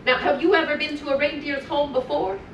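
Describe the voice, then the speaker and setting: Heard from a distance in an echoing room, a woman plays a cheerful, grandmotherly storybook wife of Santa. The voice is high and sing-song, gently encouraging as it tells rhymed tales to children and chats between verses. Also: fairly quick, highs cut off, close to an everyday voice. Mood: cheerful, chatty. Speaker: character storyteller at a children's event. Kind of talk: live storytelling to children, rhymed picture-book reading. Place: indoor room on a cruise ship. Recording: distant mic, roomy echo, faint noise.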